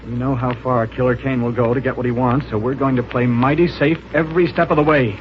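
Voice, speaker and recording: An actor playing a confident male space hero, brisk and authoritative, in 1930s radio serial style. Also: medium pitch, steady tone, natural voice. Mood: reassuring, resolute. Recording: dull, noisy 1930s radio recording.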